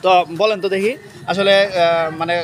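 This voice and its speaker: A man's voice: high voice, steady tone, normal speed, a bit bright. A man with a Bangladeshi accent doing an informal street interview and talking to viewers in a repetitive, urging way. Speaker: vlogger and interviewer. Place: outdoors on a street. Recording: noisy outdoor street with traffic.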